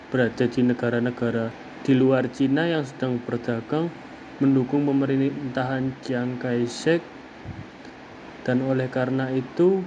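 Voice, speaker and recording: A dull, lo-fi recording with clear background noise carries a young man giving an academic talk. His pitch is middling for a man, and he explains in halting, separate phrases, like a student presenting a study.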